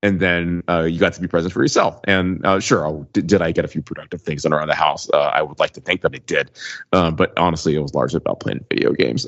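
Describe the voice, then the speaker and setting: A man in his thirties co-hosting a podcast, telling personal stories in a measured, self-deprecating, casual way. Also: low voice, no echo. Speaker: podcast co-host. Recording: slightly dull, lo-fi microphone sound.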